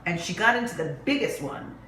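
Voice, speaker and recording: A woman's voice: mid-range voice, animated, a little fast, sharp tone. A woman reading a picture book aloud to young children as a storyteller, with dramatic, emphatic phrasing. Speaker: children's librarian reading stories. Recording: roomy echo with faint background noise.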